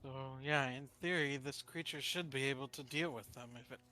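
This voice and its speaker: A man playing a tabletop game, casually and haltingly reasoning aloud. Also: medium pitch, bright, forceful tone. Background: background music.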